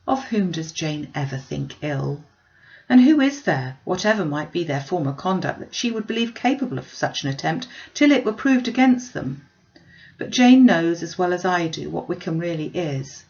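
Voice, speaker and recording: Earnest, animated young gentlewoman read by a woman. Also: fairly low pitch, moderate pace, vocal fry, highs cut off. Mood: troubled, critical. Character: earnest young gentlewoman, candid and sharp-minded.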